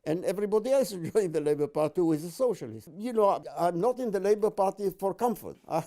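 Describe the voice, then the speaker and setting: A man's voice: sits high, lively intonation, quick pace, warm tone. A man in his eighties from Palestine giving personal testimony, emphatic, with frequent stammering restarts. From born and raised in Palestine. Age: 80s.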